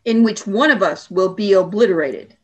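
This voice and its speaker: A woman's voice: mid-range voice, lively intonation, moderate pace, husky. An imperious alien warrior woman, acted by a woman for a radio play. Her mid-range voice is cold and formal. She speaks as one used to command, stern and haughty. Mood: cold, severe.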